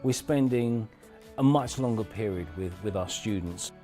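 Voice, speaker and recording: Mellow, lowish-voiced male developer. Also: moderate pace, soft background music. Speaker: game developer. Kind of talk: promotional interview.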